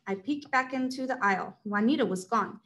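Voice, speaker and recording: A woman's voice: a little high, fairly quick, fairly bright tone. A woman reading a children's story aloud as its narrator. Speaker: read-aloud narrator at a school.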